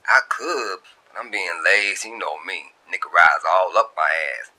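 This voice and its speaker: A man's voice: low voice, normal speed, sharp tone, exaggerated voice. A man voicing a laid-back, teasing young man in love, with easygoing colloquial talk in an audio story. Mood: lazy teasing. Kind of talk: acted dialogue in a narrated story.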